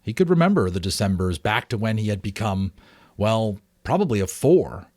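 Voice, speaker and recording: A man's voice: on the low side, sing-song, normal speed, darkish tone, no echo, studio quality. A man reading a story aloud in an informal, dramatic way, sometimes stumbling and correcting himself. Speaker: amateur audiobook reader.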